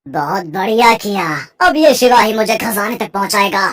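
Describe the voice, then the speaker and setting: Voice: medium pitch, expressive, moderate pace, fairly bright tone. An actor voicing a scheming sorcerer who poses as a kindly uncle, persuasive and ingratiating, in a cartoon moral story. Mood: gloating. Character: scheming male sorcerer posing as a sweet-talking uncle. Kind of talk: animated Hindi moral story acting.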